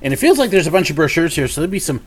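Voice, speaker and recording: A man's voice: on the high side, sing-song, very fast, husky. Chatty sniffly man on a live stream. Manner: chatty, upbeat, rambling with many fillers. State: sniffly from a cold. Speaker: YouTube host. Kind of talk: live Q&A and mail call. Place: home office with shelves of collectibles.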